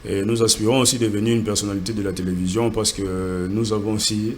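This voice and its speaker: A man's voice: fairly low pitch, little pitch movement, fast talker, a little hiss or hum. A man from Congo, a guest entrepreneur, answering earnestly in a TV studio interview and often repeating words as he searches for them.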